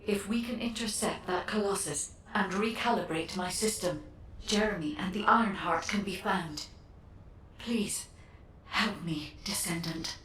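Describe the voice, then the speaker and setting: A woman's voice: a little slow, fairly bright tone, lightly acted. Distant, echoing female guide acted by a woman. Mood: pleading, earnest. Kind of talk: dramatic video game dialogue. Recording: echoey, distant, rumbling faint noise.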